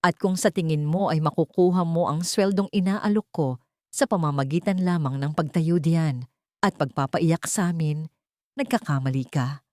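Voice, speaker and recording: Woman voicing a cold, menacing rich father, low voice. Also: normal speed, warm tone, no room sound, studio quality, close to an everyday voice. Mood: cold, stern. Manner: menacing, warning. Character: cold, stern rich father giving threatening ultimatums.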